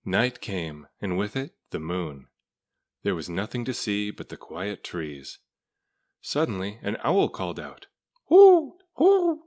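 Low-voiced man reading a storybook. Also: animated, unhurried. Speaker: storybook reader. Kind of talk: read-aloud children's story narration.

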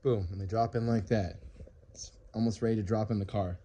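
A man's voice: on the low side, fairly even intonation, a little fast, warm tone, husky. A man filming himself in his home garage as he works on an engine, chatting casually about the build and joking at his own expense. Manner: chatty and self-mocking, jokey asides. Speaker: car hobbyist vlogger. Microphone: phone camera held while working.

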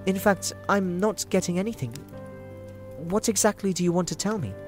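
A man's voice: sits high, moderate pace, darkish tone, faint music behind, pitched above the performer's own voice, natural voice. A man voicing an eager, affectionate young hobbit boy in a storybook audio drama. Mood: puzzled, curious.

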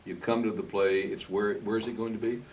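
A man in his seventies conducting a conversational interview, halting and repeating phrases as he goes. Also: lowish voice, little pitch movement, darkish tone. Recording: slightly distant mic, faint background noise.

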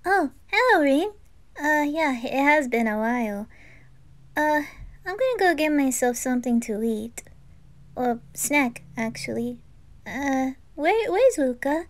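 A woman voicing a sweet, caring, bashful teenage anime boy in a gentle, hesitant way for an amateur roleplay. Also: high-pitched, expressive, measured pace. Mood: friendly, bashful.

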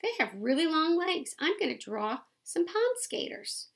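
A woman's voice: extremely high pitch, very animated, measured pace, vocal fry, voice pushed up, exaggerated voice. A woman voicing a curious, hungry little boy who tells jokes, asking eager questions in a storybook.

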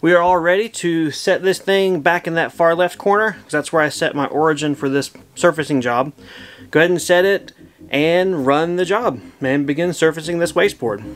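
A man in his thirties casually explaining a machine setup in his home woodshop, easygoing and plainspoken, thinking out loud. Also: fairly high pitch, moderate pace. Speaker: woodworking channel host. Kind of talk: casual how-to narration.

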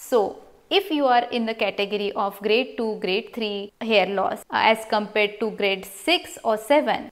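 A woman in her thirties with an Indian accent, a doctor giving a measured, instructive explanation point by point to camera. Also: a little high. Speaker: doctor, cosmetic surgeon presenting. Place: small clinic room, indoors.

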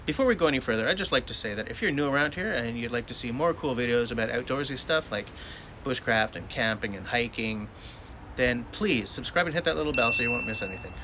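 A man's voice: medium pitch, fairly bright tone, slight background noise. A man in his forties with a jokey, self-mocking manner, narrating a casual vlog voice-over. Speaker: bushcraft vlogger. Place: voice-over, not recorded outdoors. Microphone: voice-over mic.

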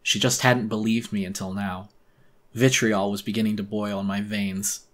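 A man narrating a horror story as a dramatic audiobook reading, intense, theatrical and deliberate. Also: lowish voice, flat delivery.

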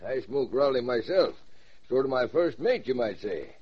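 An easygoing male Navy skipper in a 1940s radio advert. The voice is husky with very lively, swinging intonation, heard through a dull old recording. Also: mid-range voice, normal speed. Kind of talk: 1940s radio commercial acting.

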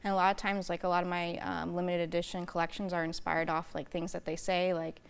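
A woman's voice: lowish voice, level tone, fast, vocal fry, smooth. A young American woman giving casual answers as an interview guest, on a lapel mic in a TV studio. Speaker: interview guest, artist and art teacher. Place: TV news studio.

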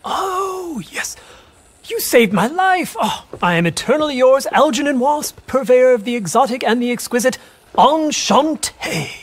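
A man's voice: very high-pitched, animated, measured pace, exaggerated voice. A male actor playing a flamboyant, effusive art dealer in a video game, with a theatrical, fussy, pompous manner. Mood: effusive, fawning. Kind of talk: video game character acting.

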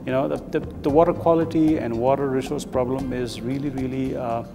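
Middle-aged man from Nepal, earnest explainer with fillers, lapel mic, roomy hall, soft music under. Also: medium pitch, fairly even intonation, a little fast. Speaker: research professor. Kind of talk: documentary interview. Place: large open office with windows.

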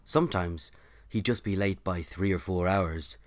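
A man with a deep, low-pitched voice narrates for a story podcast. His delivery is scripted storytelling, in the role of host and narrator. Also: a little slow.

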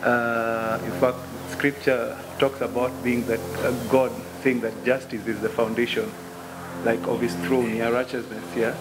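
A man's voice: medium pitch, level tone, unhurried, noisy background. Earnest man speaking like a preacher. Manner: earnest, preacherly, quotes scripture. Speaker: minister, gospel musician. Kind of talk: press conference answers. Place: press conference room, indoors. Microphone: table microphone.

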